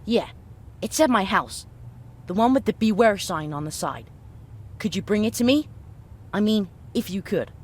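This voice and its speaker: An actor playing an eager, secretive young child with a husky voice in a video game. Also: mid-range voice, animated, moderate pace, pauses often, a little mellow. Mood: eager, pleading. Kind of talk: video game dialogue acting.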